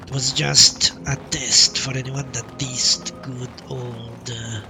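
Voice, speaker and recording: Slow, gravelly man muttering over a game. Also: medium pitch, level tone, music in the background. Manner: halting, muttering to himself, self-deprecating. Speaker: game streamer. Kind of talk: casual live gameplay commentary.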